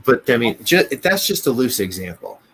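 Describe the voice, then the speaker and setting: A man with an American accent in a casual business discussion, speaking haltingly, often repeating words and restarting. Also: medium pitch, big pitch swings, fairly bright tone. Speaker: business podcast co-host. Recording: noticeable background noise.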